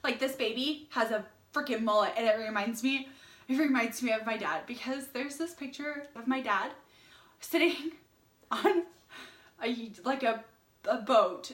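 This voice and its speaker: A woman's voice: a little high, fairly bright tone. A young woman co-hosting a book review, chatting informally and expressively with personal asides. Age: young adult. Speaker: co-host of a book review channel. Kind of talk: casual chat, personal anecdotes. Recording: roomy indoor echo.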